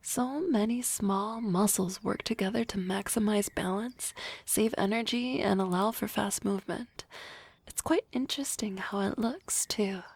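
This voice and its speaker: A woman's voice: mid-range voice, unhurried, creaky, slightly rough, no echo. A woman playing a teasing young harpy girl. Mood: playful. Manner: soft, often whispered, teasing. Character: energetic young female harpy classmate, teasing. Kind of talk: ASMR roleplay.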